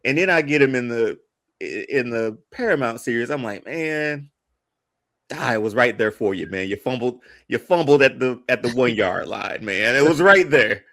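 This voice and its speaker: A man's voice: medium pitch, animated, moderate pace, a bit bright. A man chatting casually as a podcast panelist, slangy and emphatic, often repeating his phrases for weight.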